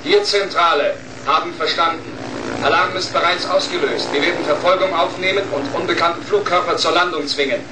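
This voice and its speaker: A man's voice: on the high side, brisk, close to an everyday voice. A man playing a stern, skeptical control centre dispatcher in a radio play, speaking in clipped official calls. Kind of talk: radio-communication acting in children's radio play. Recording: distant echoing radio call, faint noise.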